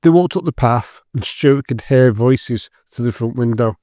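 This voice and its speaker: A man's voice: mid-range voice, normal speed, warm tone, dry recording, clean studio sound. A man giving scripted narration as the storyteller of an audio drama.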